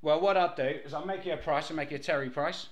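A man's voice: fairly high pitch, quick pace. An English man making a haggling prank call, rambling pushily and repeating his phrases. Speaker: prank caller posing as a fruit machine dealer.

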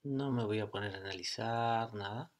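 Low-voiced man guiding meditation. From Argentina. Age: middle-aged. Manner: slow, calm delivery in short phrases with long pauses. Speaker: yoga and meditation teacher. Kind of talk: guided meditation.

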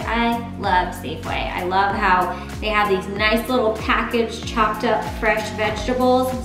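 A woman in her thirties chatting casually in an upbeat, homey way while she cooks in her home kitchen, filmed by a camera on the counter. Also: on the high side. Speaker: fitness vlogger. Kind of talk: casual cooking vlog. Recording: echoey kitchen with background music.

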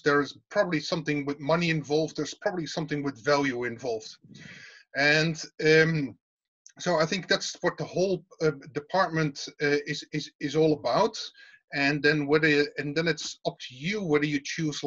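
A man's voice: fairly high pitch, a bit bright. Dutch-accented man on a video call. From Netherlands. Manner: informal, repeats words and restarts often. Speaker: university teaching fellow. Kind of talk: casual interview answers. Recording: dull lo-fi video call audio.

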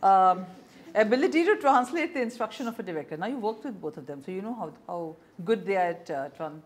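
A middle-aged woman interviewer speaks with an Indian accent. Her pitch is average for a woman but moves in a lively way as she puts questions conversationally. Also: fast. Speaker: interviewer, host. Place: indoor room.

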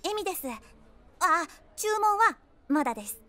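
A woman's voice: very high-pitched, big pitch swings, measured pace, a bit bright, exaggerated voice. An actress playing a prim, cutesy, polite woman in her twenties on a date in an audio drama. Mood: sweet, coy. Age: 20s.

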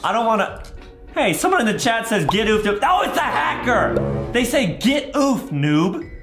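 Excitable high-voiced young man hosting gaming videos. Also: a little slow. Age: 20s. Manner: excitable, theatrical, frequent shouting. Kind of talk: exaggerated kids' gaming commentary. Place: small room at home, indoors. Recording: roomy echo with loud background music. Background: music.

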